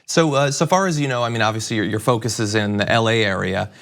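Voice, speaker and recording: A man's voice: fairly low pitch, fast, fairly bright tone. A man hosting a talk show, asking conversational news interview questions in an engaged, wordy way, thinking aloud.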